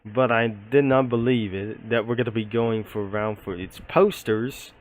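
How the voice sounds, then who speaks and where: A man's voice: lowish voice, normal speed, poor audio. A man giving unscripted video commentary in a rambling, roundabout way. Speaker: video creator presenting a comic studio.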